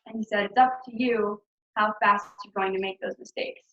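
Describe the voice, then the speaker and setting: A woman's voice: mid-range voice, steady tone, normal speed, fairly bright tone. A young woman chatting casually over a video call, her talk loose and full of ums, likes and small laughs. The sound is roomy and echoing, and the audio is dull and muffled. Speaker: returned missionary speaker.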